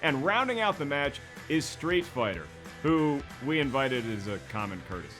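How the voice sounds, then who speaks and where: A man's voice: mid-range voice, sing-song, normal speed, a bit bright, faint music behind. A man with an American accent hosting a scripted comedic video essay in a jokey, emphatic presenter's delivery. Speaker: host.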